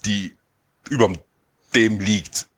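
A middle-aged man on a casual podcast, talking in halting bursts and restarting his phrases. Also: on the low side, steady tone.

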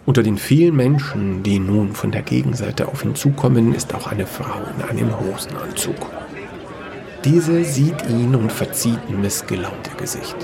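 A man's voice: medium pitch, normal speed, gravelly, a crowd in the background. A man reading scripted narration for a science-fiction fairy tale audio story.

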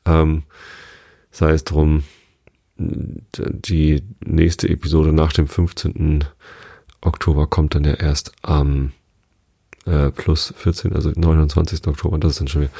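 Hoarse male podcast host, very low gravelly voice, rambling casual delivery, dry close sound. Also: dry recording. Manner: rambling, relaxed, many fillers and restarts. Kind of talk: casual sleep podcast chat.

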